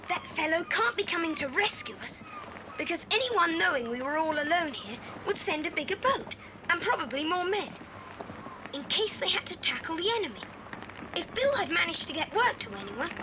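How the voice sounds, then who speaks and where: A performer playing a bold, quick-thinking child adventurer who takes charge in a children's radio play. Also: very high voice, big pitch swings. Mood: planning, confident. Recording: noisy old cassette transfer.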